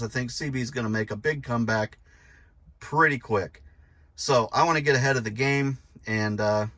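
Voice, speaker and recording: A man's voice: mid-range voice, fairly bright tone. A middle-aged man casually talking through a hands-on DIY job, informal and folksy, thinking aloud as he goes. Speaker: host of a DIY install video. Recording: noticeable background noise.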